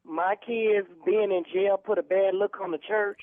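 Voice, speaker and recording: A woman's voice: medium pitch, fairly even intonation, unhurried, no room sound. A woman speaking firmly and emphatically in an indignant phone conversation, heard over a narrow telephone line. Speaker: prank call target, church member.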